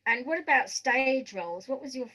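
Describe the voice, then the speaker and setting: A woman with a fairly high voice interviews a guest for a podcast. She is conversational and affirming, offering encouragement as she frames her questions. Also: lively intonation, normal speed, bright, forceful tone.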